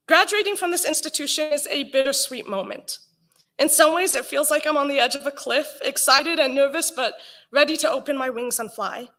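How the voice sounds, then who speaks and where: A young woman with a high voice reads a prepared speech as a graduating honoree. Her delivery is earnest and measured. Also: a bit bright. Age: young adult.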